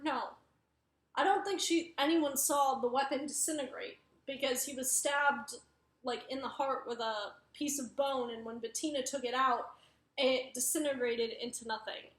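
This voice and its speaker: High-voiced rambling young woman in an echoey room. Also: fairly bright tone. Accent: mixed Wisconsin, New York and Arizona. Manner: rambling, self-correcting, many fillers. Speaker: book reviewer. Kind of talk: casual chat.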